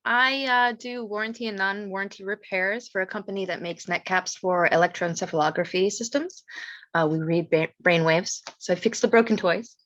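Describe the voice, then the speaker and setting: A woman's voice: medium pitch, steady tone, normal speed, a bit bright, no echo, dull audio. An American woman from Oregon talking casually about herself as a podcast guest. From Oregon, United States. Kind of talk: casual self-introduction.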